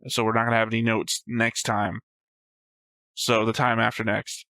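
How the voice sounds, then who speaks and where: A man's voice: lowish voice, little pitch movement, moderate pace, pushed and bright, no echo. A man co-hosting a reaction show, picking apart points in casual debate with a precise, argumentative manner. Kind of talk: casual debate about an animated series.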